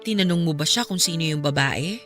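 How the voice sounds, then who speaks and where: A woman's voice: deep voice, fairly quick. A woman acting a concerned, curious young woman who questions someone expressively in a radio drama. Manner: expressive, inquisitive. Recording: music behind the voice.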